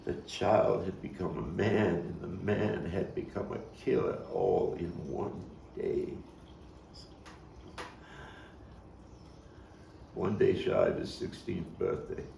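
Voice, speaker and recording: Guy acting out haunted brooklyn man in a stage monologue, gravelly voice, bitter and halting, roomy far off mic. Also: low voice, animated, dark tone, full character voice. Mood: grim reminiscence. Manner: halting, brooding, with sudden anguished outbursts. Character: haunted Brooklyn man confessing a boyhood killing, bitter, anguished. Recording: roomy, distant mic, faint noise.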